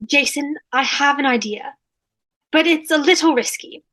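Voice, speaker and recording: A woman's voice: high-pitched, moderate pace, a bit bright. A woman playing a gracious, wordy, name-dropping rich socialite in a scripted comedy read over a video call. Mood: conspiratorial. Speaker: fan table-read actor.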